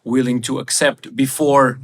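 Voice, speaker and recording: A man's voice: mid-range voice, flat delivery, a little slow. A man hosting a podcast interview, asking questions hesitantly and searching for words. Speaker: podcast host. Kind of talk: interview conversation.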